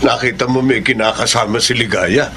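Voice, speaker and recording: A man's voice: mid-range voice, normal speed, background noise, lightly acted. A man playing a fond, jovial older Filipino father figure in a radio drama, teasing and fatherly.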